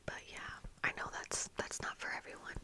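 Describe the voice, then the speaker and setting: A young woman speaking in a breathy whisper, softly and casually rambling in an ASMR style, close to the microphone. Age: young adult. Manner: soft, unhurried, casual rambling. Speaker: ASMR video creator. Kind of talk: whispered ASMR, casual get-ready-with-me chat. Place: small indoor room at home with bookshelves. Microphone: close fluffy-covered microphone, monitored on earphones.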